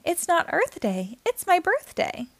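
A woman's voice: very high-pitched, huge pitch swings, measured pace, a bit bright, no echo, pitched above the performer's own voice, full character voice. A woman voicing a silly, proud young male monkey who insists in a childlike way, for a storybook. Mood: proud, insistent.

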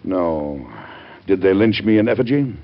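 An actor in an old-time radio drama playing a middle-aged, devout American president with a husky voice and a grave, stately, oratorical manner. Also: male voice, sits low, animated, unhurried. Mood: cheerful. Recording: dull vintage radio drama recording.

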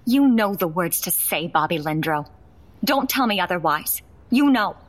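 A woman's voice: fairly low pitch, expressive, normal speed. An actress playing a fed-up young wife and mother, blunt and confrontational, in a naturalistic audio drama. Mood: insistent.

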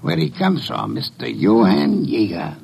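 A man playing a shrewd, pompous gentleman detective with formal, deliberate phrasing and a gravelly, husky voice in a 1950s radio drama. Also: low voice, wildly swooping pitch, heavily acted. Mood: coolly accusing.

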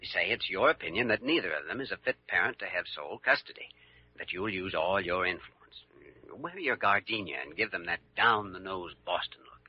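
An actor playing an earnest, persuasive young American hospital doctor with a wry, colloquial way of talking in a classic radio drama. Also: male voice, low-pitched, expressive, brisk, slight background noise. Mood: slyly coaxing. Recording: dull old radio recording.

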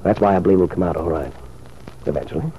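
A man's voice: sits low, fast, dark tone, slight background noise. An actor playing an ardent, reassuring lover of a married woman in an old radio soap opera, suave and earnest. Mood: persuasive. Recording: muffled old radio recording.

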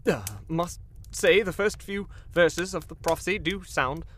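A man's voice: high voice, unhurried, fairly bright tone, slight background noise. A man playing a nervous, bumbling, obsequious enchanter who stammers and fawns in a fantasy audio drama. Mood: hesitant deference. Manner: stammering, fawning, flustered.

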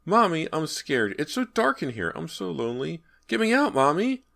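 A terrified little ghost boy for a horror audiobook. The voice is tiny, childlike and pitched far up, swooping as it pleads and wails for its mother. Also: sing-song, normal speed, vocal fry, fairly bright tone, exaggerated voice. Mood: lonely, scared. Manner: pleading, wailing for his mother. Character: frightened little ghost boy trapped inside a wall.

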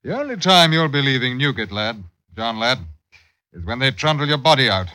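A stern, forceful police captain. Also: male voice, medium pitch, sing-song. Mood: gloating, commanding. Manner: deliberate, wry, addresses others as lad. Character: stern, sly, gloating police captain in old London. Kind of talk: old-time radio drama acting. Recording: noisy old radio recording.

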